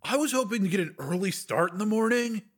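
Man voicing a high-pitched, reluctant local man. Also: expressive, brisk. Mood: reluctant, uneasy. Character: reluctant, cautious local husband, unpopular and worried. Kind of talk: audiobook character acting.

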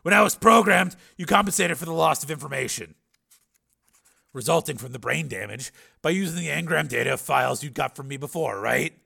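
A hard, embittered cyborg man as a male reader acts him out in a storybook reading. His voice is very creaky and tense, pitched on the high side, and he pushes each challenging question forcefully. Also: lively intonation, a little fast, exaggerated voice. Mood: probing, sharp. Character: hard, bitter cyborg man demanding answers.

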